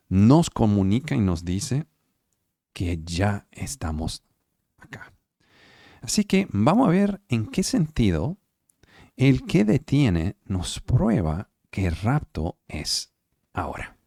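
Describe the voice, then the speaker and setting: A man's voice: fairly low pitch, big pitch swings, takes it slowly, gravelly, dark tone, dry recording, clean studio sound. A man preaching and teaching the Bible over a video call, speaking Spanish as a second language with emphatic repeated words and frequent check-ins with his listeners. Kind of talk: online sermon and Bible study. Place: home, online service.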